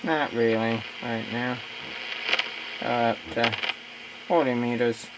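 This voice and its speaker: A man giving a casual, unscripted gadget demonstration in a low-key, halting way, thinking aloud as he tinkers. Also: fairly even intonation. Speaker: radio hobbyist demonstrating a receiver. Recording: very noisy amateur recording with radio static.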